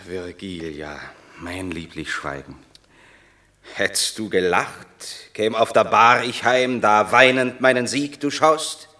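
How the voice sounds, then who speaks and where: An actor playing a proud, unbending yet tender Roman war hero in a classical radio drama, grave and declamatory. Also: male voice, lowish voice, takes it slowly, sharp tone. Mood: tender gravity.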